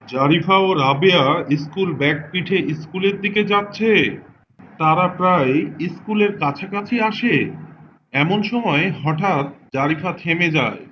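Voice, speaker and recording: A man narrates a children's cartoon from a script. His voice is fairly high, and the recording is dull, with some noisy ambience behind it. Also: moderate pace. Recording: muffled, clearly noisy background ambience.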